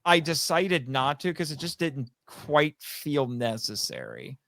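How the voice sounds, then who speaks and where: A man's voice: on the high side, animated, a little slow, vocal fry, fairly bright tone. A man talking casually as a podcast guest, explaining his writing like a storyteller. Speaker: podcast guest, writer and voice actor. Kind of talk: casual interview chat.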